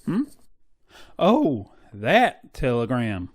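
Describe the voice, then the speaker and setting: An actor playing an obliging western deputy in a radio drama, deferential and folksy. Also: male voice, medium pitch, huge pitch swings, extremely slow, slight background noise, exaggerated voice. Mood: dutiful. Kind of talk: old-time western radio drama acting.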